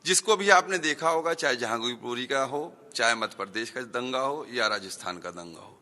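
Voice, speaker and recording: A man's voice: medium pitch, lively intonation, moderate pace, bright, forceful tone, big-room sound. A man giving an emphatic interview sound bite.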